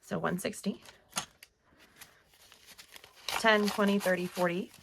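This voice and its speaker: A woman's voice: medium pitch, steady tone, slow pace, gravelly, background noise. A woman in her thirties who is losing her voice, chatting upbeat to camera as a budgeting vlogger. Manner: chatty, upbeat, laughs often. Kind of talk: casual chat to camera.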